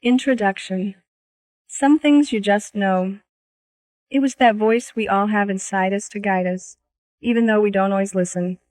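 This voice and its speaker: A woman's voice: mid-range voice, fairly even intonation, unhurried, a little mellow, no echo. A woman reading a scripted, first-person audiobook introduction as its author.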